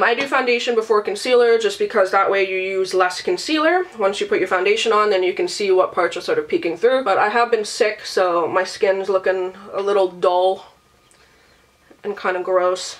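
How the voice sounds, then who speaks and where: A woman's voice: mid-range voice, fairly bright tone. A woman with a sick, nasal voice chatting casually and rambling as a beauty and book vlogger, swearing freely. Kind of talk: casual rambling vlog chat.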